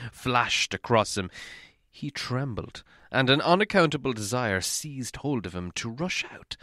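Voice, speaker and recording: A man reading a story aloud as an expressive audiobook narrator. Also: medium pitch, no room sound, studio quality.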